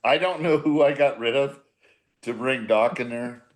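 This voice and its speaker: A man's voice: mid-range voice, moderate pace. A man in his sixties, a former baseball manager, reminiscing casually in a rambling, repetitive, blunt and salty way. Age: 60s. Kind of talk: casual reminiscing in a group podcast.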